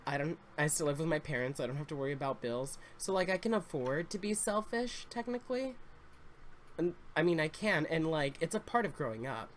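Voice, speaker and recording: A man in his twenties chatting casually, a bit congested and slightly raspy, rambling with fillers and restarts. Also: on the high side, animated, a little fast, slight background noise. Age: 20s. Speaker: Sims YouTuber. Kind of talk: casual story time chat.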